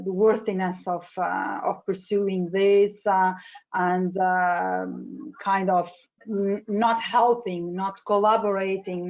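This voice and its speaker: Halting, mid-pitched woman questioner, muffled call line. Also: a little mellow. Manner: searching for words, many fillers. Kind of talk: webinar Q&A. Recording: dull video call audio.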